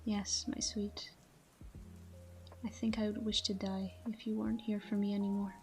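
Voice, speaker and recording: A woman playing a tender, melancholy vampire lover in an intimate ASMR monologue, speaking softly and slowly in a breathy half-whisper, close to the microphone. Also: medium pitch, little pitch movement, close to an everyday voice. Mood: devoted, wistful. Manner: slow, hushed, wistful delivery. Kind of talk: intimate ASMR sleep-aid roleplay monologue. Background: soft background music.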